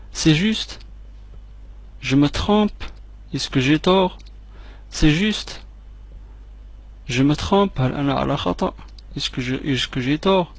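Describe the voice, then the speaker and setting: A man teaching French phrases, repeating each one slowly and clearly, with quick asides in between. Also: medium pitch. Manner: slow, clearly separated repetitions, quick asides in another language. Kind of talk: repeat-after-me language drill.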